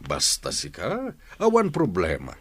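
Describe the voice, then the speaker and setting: A man playing a scheming, smooth-talking rich village Don in an Ilocano comedy radio drama, with an Ilocano accent. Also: sits low, wildly swooping pitch, measured pace, a bit bright, dry recording, exaggerated voice. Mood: ingratiating, sly.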